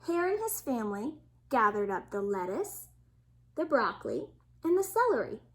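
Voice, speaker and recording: A woman storyteller reading a bedtime story aloud to children with expressive narration. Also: on the high side, moderate pace.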